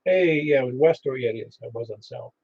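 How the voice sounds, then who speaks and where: A man running a ham radio net, chatting in a relaxed way and reading out call signs, heard over a muffled radio receiver. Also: medium pitch, quick pace, slight background noise. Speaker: net control operator.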